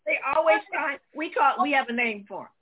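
A woman on a discussion panel who chimes in with quick, eager remarks. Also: high voice, lively intonation, phone-quality sound, crowd noise.

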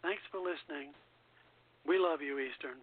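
Guy hosting a radio show, voice a bit high, sounds like he's on a phone line. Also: normal speed. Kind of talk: radio show sign-off and casual chat.

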